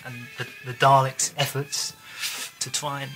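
A man reminiscing casually in an interview, in a halting, hesitant way. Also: mid-range voice, completely flat delivery, poor audio. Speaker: audio drama writer and contributor. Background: music.

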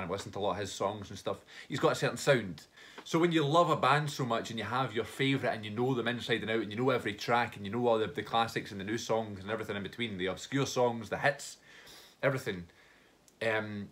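A man's voice: medium pitch, a bit bright. A young man, stuffy-nosed from hay fever, gushing and rambling as he reacts to a new song at home. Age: young adult. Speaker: music reaction YouTuber. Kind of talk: casual reaction vlog. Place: living room at home.

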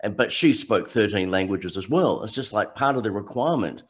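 A middle-aged man hosts a casual interview over a video call through a headset. His voice sits a little low, and he chats easily, often murmuring agreement as his guest talks. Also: expressive, a little fast, slightly rough. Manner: warm, affirming, frequent murmured agreement. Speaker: host. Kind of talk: conversational interview.

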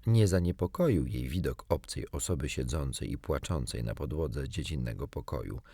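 Guy reading a kids story, deep clean voice, really dry close sound, theatrical. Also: expressive, normal speed, warm tone, husky, no echo. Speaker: audiobook narrator. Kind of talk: expressive scripted storytelling for children.

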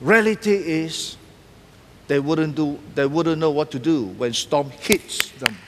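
A man's voice: fairly high pitch, slow pace, a little hiss or hum. A middle-aged man preaching a sermon as pastor, emphatic and repeating key words as he paces the stage. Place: large church stage decorated for Christmas. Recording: long hall reverb.

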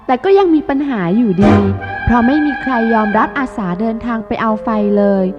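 A woman reads a scripted children's tale as narrator. Her voice sits a little high, with music playing behind her and a slightly dull recording. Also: expressive, fairly quick. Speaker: storyteller.

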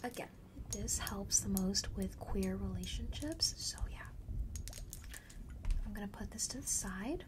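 A young woman playing a friendly witch perfume seller in an ASMR roleplay, whispering softly and breathily close to the microphone in a casual, slangy, reassuring way. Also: mid-range voice, measured pace, background noise, lightly acted. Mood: gently coaxing. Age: young adult. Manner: casual, slangy, gently coaxing. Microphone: close large studio microphone.

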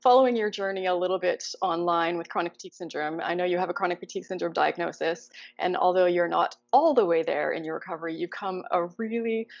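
A woman's voice: on the low side, quick pace, few pauses, muffled recording. A woman in her thirties hosting a video-call interview, upbeat and encouraging. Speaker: host. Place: living room indoors. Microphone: lapel mic on a video call.

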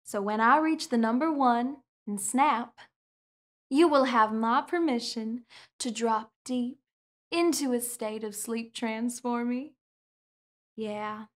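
A young woman in a studio, speaking soft, slow, soothing hypnosis roleplay with repetitive counting and commands. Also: fairly high pitch. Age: young adult. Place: indoor studio set with curtain backdrop.

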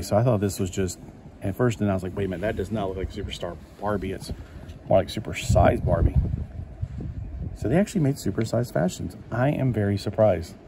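A man's voice: on the low side, mellow, a little hiss or hum. A man casually chatting to himself as he flips through a collector magazine, rambling and easily delighted. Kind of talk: casual chat over a magazine flip-through. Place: indoors at a table.

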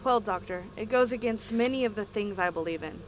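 A woman playing a principled, reserved psychologist in an audio drama, with a formal, measured way of speaking. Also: mid-range voice, a little hiss or hum, lightly acted. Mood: guarded, curious. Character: principled, skeptical female psychologist, reserved but curious. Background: music.

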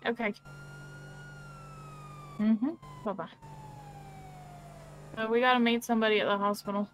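A woman's voice: a little high, fairly even intonation, measured pace, a bit bright, a little hiss or hum. A woman chatting casually in character in an online role-play game.

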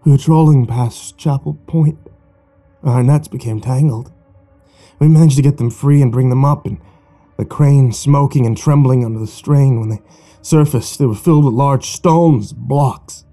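A man's voice: mid-range voice, normal speed, dark tone, faint music behind, natural voice. A man voicing a wasted, dying fisherman who speaks in weak, gasping, broken phrases. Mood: haunted, gasping. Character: dying male fisherman, gaunt, gasping and desperate.

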